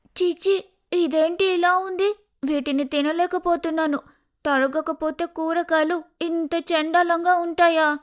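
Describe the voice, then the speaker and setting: A woman's voice: extremely high pitch, steady tone, measured pace. A woman voicing a lazy, sulky young sparrow daughter-in-law in a cartoon, with whiny, careless complaining. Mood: disgusted.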